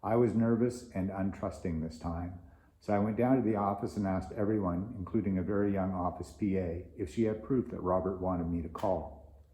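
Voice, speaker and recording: A man's voice: sits low, fairly even intonation, normal speed, dark tone. A man telling stories from his working life in film, partly reading aloud from his own memoir. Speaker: film property master and author. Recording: roomy echo.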